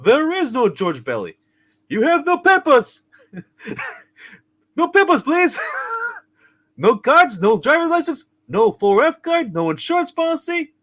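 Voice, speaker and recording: A man's voice: very high voice, wildly swooping pitch, unhurried, long pauses, fairly bright tone, full character voice. A man voicing a chirpy, scolding guardian angel in a hammed-up, giggly amateur play reading. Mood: gleeful taunting. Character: chirpy, scolding male guardian angel, high-voiced and gleeful.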